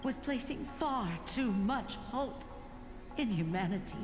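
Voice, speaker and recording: A woman voicing a calm, mournful seer in a fantasy game, with a gentle, measured delivery. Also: fairly high pitch. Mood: resigned sorrow. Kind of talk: scripted fantasy game dialogue. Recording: long hall reverb, music underneath.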